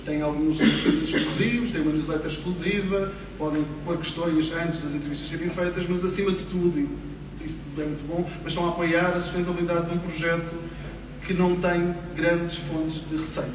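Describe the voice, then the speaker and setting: A man's voice: a little high, level tone, a little fast, noisy background. Earnest man, far off in an echoey hall. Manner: earnest, explaining a funding appeal. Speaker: podcast host, panel guest. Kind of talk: public panel conversation. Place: theatre stage.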